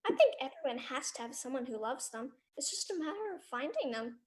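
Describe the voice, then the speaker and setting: A woman's voice: high-pitched, sing-song, fairly quick. A woman playing an earnest, inquisitive little girl with a direct, innocent manner in a live radio drama. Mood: earnest, hopeful.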